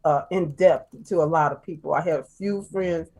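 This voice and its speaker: A woman from Chicago, a guest telling her life story in casual chat, soft-spoken and halting, with many fillers and restarts. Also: sits low. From Chicago, USA.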